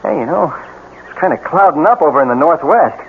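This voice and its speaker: A man's voice: on the high side, expressive, fairly quick, slightly rough. An actor playing a folksy, genial, practical outdoorsman in an old-time radio drama, with a chatty manner. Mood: easygoing. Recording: tinny old radio recording, faint noise.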